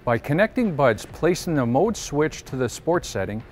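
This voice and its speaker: A middle-aged man giving a scripted technical presentation in a steady, measured voice, recorded on an indoor studio set. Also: a little high, slight background noise.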